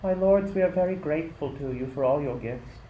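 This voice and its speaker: A man playing a humble, devout young mother in an amateur radio play, speaking in a solemn, measured, reverent way. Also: fairly high pitch, phone-quality sound, lightly acted. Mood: solemn, grateful. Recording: echoey room with background noise.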